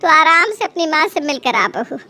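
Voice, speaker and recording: A woman's voice: high voice, very animated, normal speed, background noise. A woman acting an elderly mother-in-law in a Hindi cartoon, syrupy to people's faces and full of self-pity. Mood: sweetly caring. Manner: melodramatic, self-pitying, syrupy.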